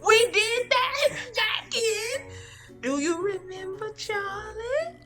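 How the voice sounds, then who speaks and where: A woman's voice: extremely high pitch, lively intonation, slow pace, pitched above the performer's own voice, exaggerated voice. A woman playing a scolding, tearful old woman in an improvised radio drama, wailing and sassy. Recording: music behind the voice.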